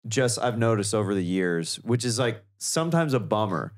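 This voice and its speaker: A man guest on a comedy podcast, chatting casually and telling stories that jump up in pitch when he quotes people. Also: moderate pace. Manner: animated storyteller, acts out quotes in a higher voice.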